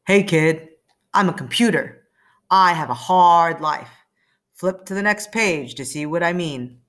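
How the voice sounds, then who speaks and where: A woman's voice: lowish voice, expressive, a little slow, a bit bright, pitched below the performer's own voice. A woman voicing a weary, aggrieved, grumbling computer character in a children's storybook read-aloud.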